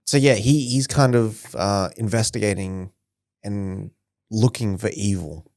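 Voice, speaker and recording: A man's voice: lowish voice, measured pace, darkish tone, no echo, clean studio sound. A man co-hosting a casual podcast, laughing often as he leads the segments. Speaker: podcast co-host. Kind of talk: casual chat.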